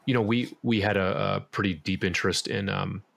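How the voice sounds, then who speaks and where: A man with a very low voice, talking loosely as a guest in an interview. His speech wanders with fillers and restarts. He sounds unhurried. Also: gravelly, husky. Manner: relaxed, rambling, many fillers and restarts. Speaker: podcast guest, musician. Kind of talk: casual interview talk.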